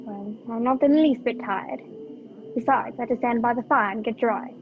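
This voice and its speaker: A young woman acting a sharp-tongued, indignant captive companion in an amateur radio play. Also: on the high side, moderate pace, lightly acted. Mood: irritated. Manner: tart, sarcastic. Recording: dull lo-fi video call audio. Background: faint music.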